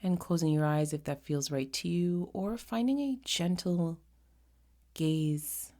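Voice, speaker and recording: Slow, low-voiced woman guiding meditation. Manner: gentle, unhurried, pausing often between short phrases. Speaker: meditation guide. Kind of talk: guided meditation.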